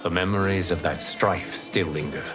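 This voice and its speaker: An ancient mentor god, performed by a man, speaks very low and measured. He is wise, composed and formal, as in a fantasy game cinematic, with clear music behind him. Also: fairly even intonation, close to an everyday voice. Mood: calm. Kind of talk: video game cinematic acting.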